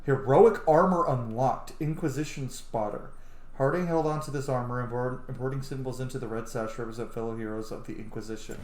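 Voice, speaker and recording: A man's voice: medium pitch, a little fast, a little mellow. Effusive man gaming in a roomy echo. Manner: effusive, casually sweary. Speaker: gamer, roleplay streamer. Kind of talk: let's play commentary, reading game text aloud.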